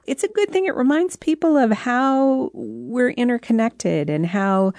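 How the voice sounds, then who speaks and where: A woman's voice: on the high side, expressive, a little slow, a little mellow, dry recording. A woman speaking as an author being interviewed, explaining things in a thoughtful, articulate, conversational way.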